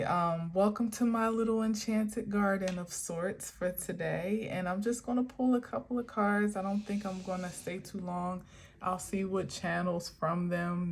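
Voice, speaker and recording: Host, female, slow meandering tarot vlogger, deep for a woman, thinking aloud and correcting herself. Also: vocal fry, a little mellow, slight background noise. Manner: meandering, musing, self-correcting. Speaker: spiritual tarot reader. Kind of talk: casual card-reading vlog. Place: outdoor garden.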